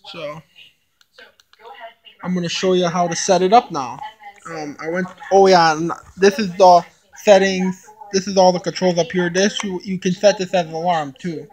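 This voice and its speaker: A young man giving a casual unboxing demonstration, explaining things in a halting, repetitive way into a handheld camera. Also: high-pitched, steady tone, darkish tone, poor audio. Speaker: hobbyist video maker. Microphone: handheld camera, no tripod.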